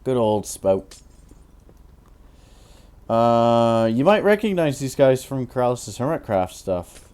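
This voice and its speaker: A tired man in his twenties with a naturally deep voice, rambling through casual commentary while he builds in a game, full of fillers and restarts. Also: big pitch swings, slow pace, long pauses, a little mellow, smooth. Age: 20s. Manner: rambling, hesitant, many fillers. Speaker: Minecraft YouTuber.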